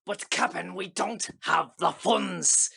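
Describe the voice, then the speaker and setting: An actor playing a sceptical, reluctant pirate sidekick in an audio play, with a gravelly voice and dry, grudging manner. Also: male voice, sits high, slow, pushed and bright, no echo, full character voice. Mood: worried.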